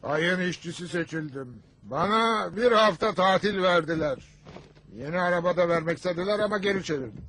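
A man's voice: high voice, animated, a little fast, pushed and bright, a little hiss or hum. A man playing a grumpy, stingy middle-aged father in a dubbed cartoon, scolding and sarcastic. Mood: irritated, sarcastic.

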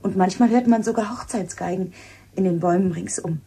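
An actress playing a frightened, superstitious young maid in a German radio play, husky and hushed. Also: female voice, medium pitch, brisk. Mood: eerie, earnest.

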